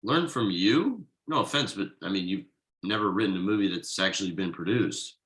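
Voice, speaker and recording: A man playing Thomas, an earnest, frugal writer who gets excited easily, in a table read of a TV pilot script. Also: sits low, normal speed. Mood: earnest, questioning.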